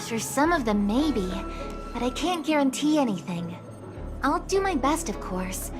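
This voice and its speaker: A cautious technician in a video game, polite and measured. Her voice sits a little high. Music plays under the scene. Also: big pitch swings. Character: careful woman technician recovering footage. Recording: game cutscene with music behind.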